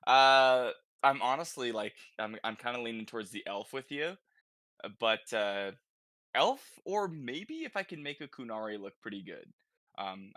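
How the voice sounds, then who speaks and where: A man with a bright, forceful voice hosts a casual gaming podcast. He talks informally, working out his thoughts as he speaks. The sound is very dry, with no room around it. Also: medium pitch, no echo. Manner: informal, conversational, thinking aloud. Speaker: podcast host.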